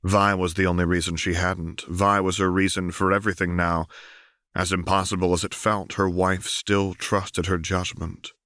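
A man's voice: low voice, flat delivery, moderate pace, a bit bright, dry recording, studio quality. A man reading dramatic fiction as an audiobook narrator.

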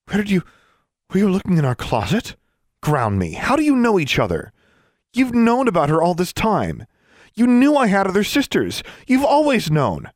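A man's voice: mid-range voice, very animated, fairly quick, few pauses, dry recording, studio quality, pitched above the performer's own voice. A man voicing a hurt, angry, headstrong girl in a story, insistent and emphatic. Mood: accusing, upset.

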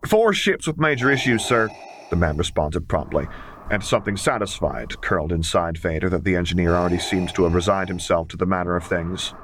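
A man's voice: low-pitched, steady tone, brisk, a little hiss or hum. A man reading scripted fiction aloud as an audiobook narrator. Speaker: audiobook reader.

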